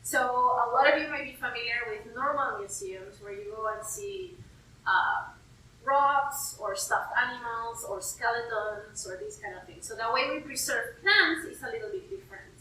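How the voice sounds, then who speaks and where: A woman's voice: fairly high pitch, lively intonation, moderate pace, pushed and bright. A woman from Peru speaking as a curator in an informal interview, explaining things in simple, everyday terms. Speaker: university herbarium curator and plant taxonomist. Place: indoor herbarium room. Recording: echoey room, distant mic, faint noise.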